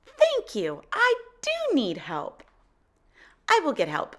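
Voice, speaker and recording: Woman voicing an excitable high-pitched little girl pig. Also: slow, exaggerated voice. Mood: eager effort. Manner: exclamatory, simple short declarations. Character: eager, determined young female pig, cheerful and excitable. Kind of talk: children's picture book read-aloud.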